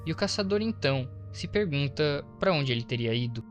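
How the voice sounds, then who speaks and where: A man with a Brazilian accent hosts a horror recap video. His voice sits a bit high, and he explains the story with casual asides. Music plays softly behind him. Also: expressive, fairly quick. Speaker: video host, narrator. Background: faint to clear background music.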